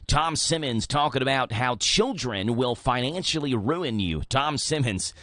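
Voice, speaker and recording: A man hosting morning radio, upbeat and casual. His voice is bright and forward, recorded close and dry in the studio. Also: medium pitch, animated, measured pace, no room sound. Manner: upbeat, plain-spoken, casual. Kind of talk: radio show hosting and live ad read.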